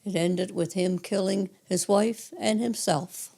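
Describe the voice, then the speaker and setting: An older woman from Cape Breton, a retired 911 dispatcher, telling detailed stories matter-of-factly in a hoarse, raspy voice. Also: mid-range voice, fairly even intonation, a little slow, mellow. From Cape Breton Island, Canada. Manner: matter-of-fact, detailed recollection. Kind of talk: casual storytelling interview.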